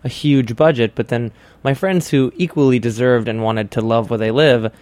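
A man's voice: medium pitch, fairly even intonation, moderate pace, a little mellow, no room sound. Young American man, casual, dry close sound. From Hawaii, United States. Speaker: interview guest, interior designer. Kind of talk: casual interview chat.

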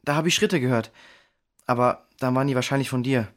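A performer playing an anxious, self-doubting boy in a children's audio drama, hushed and hesitant. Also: medium pitch, fairly quick, close to an everyday voice. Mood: tired, unsure.

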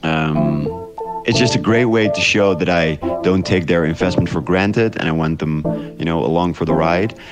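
A man from the Netherlands giving casual, reflective interview answers in a halting, hesitant way. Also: low voice, loud music behind the voice. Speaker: interviewed audio drama creator.

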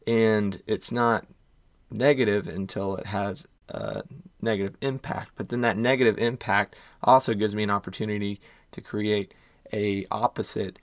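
A man hosting his own wellness podcast, slightly hoarse, upbeat and encouraging, with corny jokes and some thinking aloud. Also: fairly low pitch, no echo. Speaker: podcast host. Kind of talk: casual solo podcast talk.

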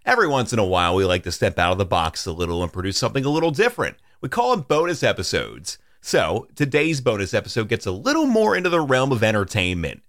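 A man hosting a podcast, reading a measured scripted introduction in a studio. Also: on the low side, big pitch swings, a bit bright, no echo. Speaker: podcast host.

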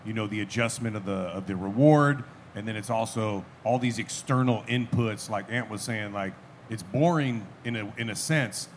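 A man on a live event panel, explaining ideas in a relaxed way. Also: lowish voice, slight background noise.

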